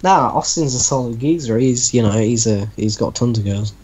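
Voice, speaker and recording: A man's voice: medium pitch, normal speed, darkish tone, slightly rough. A man casually reading a story aloud to friends, bantering and breaking in with sceptical, teasing comments. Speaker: reader in a group reaction show.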